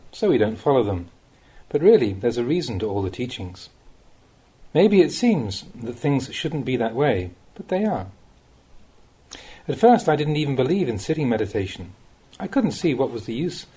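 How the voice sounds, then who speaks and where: Mellow, husky man reading spiritual teachings expressively. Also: medium pitch. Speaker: monk reading Buddhist teachings. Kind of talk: read-aloud Dhamma talk, audiobook narration.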